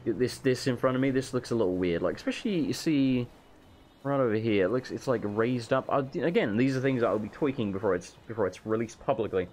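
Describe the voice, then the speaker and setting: A man with a hoarse voice, losing it from being sick, rambling casually as he shows off his game mod. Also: medium pitch, fairly quick, a little mellow, slight background noise. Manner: rambling, self-correcting, casual swearing. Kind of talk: casual gameplay walkthrough. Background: faint game music.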